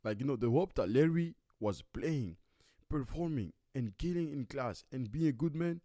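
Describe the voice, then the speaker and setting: A man's voice: medium pitch, animated, moderate pace, warm tone, dry recording. A man in his twenties co-hosting a casual podcast chat, emphatic and repetitive, speaking into a studio mic. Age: 20s. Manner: emphatic, repeats "you see what I'm saying". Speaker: podcast co-host. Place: small indoor studio set with couch. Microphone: studio mic on stand, headphones.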